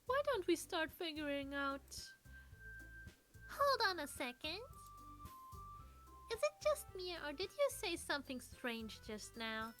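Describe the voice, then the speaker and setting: A woman's voice: sits high, sing-song, takes it slowly, long pauses, a bit bright, soft background music, heavily acted. A woman voicing a poised, friendly teenage club president in a visual novel, with an organizing manner. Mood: upbeat, puzzled. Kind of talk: visual novel character dub.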